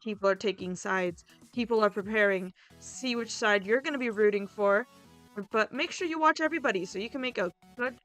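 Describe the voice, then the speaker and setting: A woman's voice: mid-range voice, brisk, a bit bright. A woman hosting a video in a casual, informal and chatty way, talking directly to her viewers with announcements. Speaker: roleplay series host and video creator. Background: background music.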